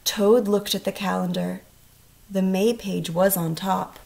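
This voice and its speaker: A woman teacher reading a storybook aloud to children, expressive and instructional, asking questions as she goes. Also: mid-range voice, unhurried, vocal fry. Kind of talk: storybook read-aloud with questions to young listeners.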